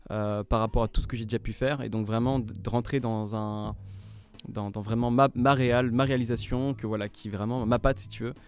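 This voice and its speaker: A man's voice: mid-range voice, fairly even intonation, fairly quick. A young man from French Guiana, a musician guest on a radio interview, talking hesitantly with many fillers and restarts.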